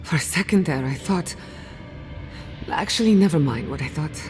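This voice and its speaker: Game role: wry, analytical female scholar, low register, hall reverb, light music bed. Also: animated, moderate pace, a little mellow. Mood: relieved, brisk. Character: woman scholar of spirit science, inquisitive, wry. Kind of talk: video game voice acting.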